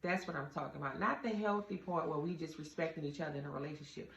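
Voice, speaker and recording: A woman with a deep voice gives casual, straight-talking relationship advice to her viewers, calling them y'all with earnest conviction. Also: fast talker, creaky. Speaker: vlogger giving relationship advice. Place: indoor room with a door. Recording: roomy, slightly dull, faint ambient hum.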